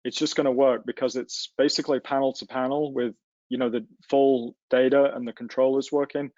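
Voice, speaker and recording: Male webinar instructor, mid pitch, informal step-by-step tech tutorial, close dry sound with slightly dulled audio. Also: level tone, fairly quick, darkish tone, no room sound, highs cut off. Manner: informal, explains step by step with hands-on examples. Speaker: instructor in a technical software tutorial. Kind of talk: casual live webinar walkthrough. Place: livestreamed online session.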